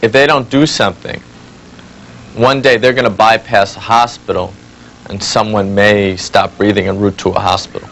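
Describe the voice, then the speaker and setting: Male interviewee, low gravelly voice, speaking over faint noise. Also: fairly even intonation, moderate pace, fairly bright tone, rough recording. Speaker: news interviewee. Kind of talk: spontaneous interview soundbite.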